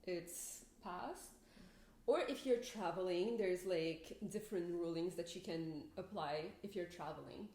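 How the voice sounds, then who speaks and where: A woman's voice: fairly low pitch, unhurried, dark tone. A young woman co-hosting a podcast, chatty and giggly, leading the questions in casual talk. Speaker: podcast co-host. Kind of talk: casual chat. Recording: echoey room.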